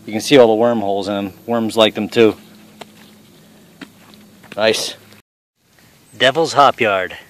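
A man's voice: fairly low pitch, unhurried, long pauses. Blunt middle-aged man on a forest hike. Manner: blunt, salty, offhand. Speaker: father showing mushrooms on a hike. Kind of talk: casual outdoor commentary. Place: forest trail outdoors. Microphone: handheld camera.

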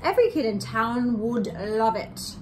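High, lively British woman reading to children. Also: unhurried, a little hiss or hum, poor audio. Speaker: co-host of children's read-aloud channel. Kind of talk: animated children's story reading with chat. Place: living room with piano, indoors.

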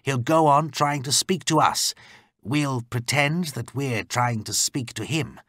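A man reading a storybook part as a scheming driver, calm and calculating, giving deliberate instructions. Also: medium pitch, no room sound, clean studio sound, voice pushed up.

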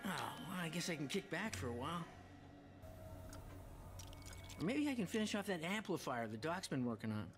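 A man playing an eager, easily startled teenage boy in an animated video game, youthful and exclamatory. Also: fairly high pitch, fast talker. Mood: relaxed, mischievous. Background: loud music.

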